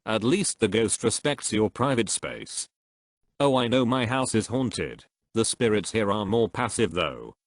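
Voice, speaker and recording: Man reading posts aloud, low voice, dry sound. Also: dry recording. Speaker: narrator reading comments aloud.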